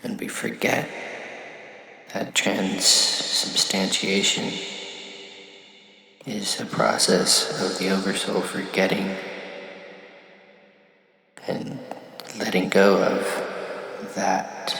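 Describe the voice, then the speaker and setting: A man delivering a reflective spiritual monologue, musing in halting phrases with long pauses between them. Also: low voice, monotone, vocal fry, sharp tone, reverberant. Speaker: monologue speaker.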